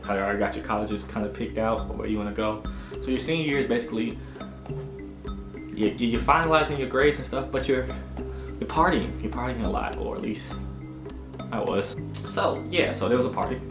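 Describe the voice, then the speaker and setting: A man in his twenties casually telling a personal story in an animated, slangy way, often dropping in little check-in phrases. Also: medium pitch. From Augusta, United States. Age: 20s. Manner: animated, slangy, punctuated with "you know what I'm saying". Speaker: storytelling vlogger. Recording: roomy echo with music behind. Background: background music.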